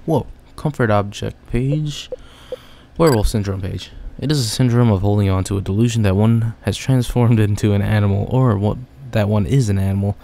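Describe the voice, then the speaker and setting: A man's voice: lowish voice, normal speed, gravelly, mellow, a little hiss or hum. A young man playing a game casually, reading its text aloud and joking about it. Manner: chatty, reads text then jokes about it. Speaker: gamer.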